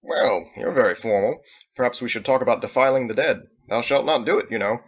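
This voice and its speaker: A man's voice: mid-range voice, a little fast, dull audio, close to an everyday voice. A man playing an effusive, pious elderly father and tavern keeper, wheedling and full of exclamations, in a read-aloud story. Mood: charming, needling.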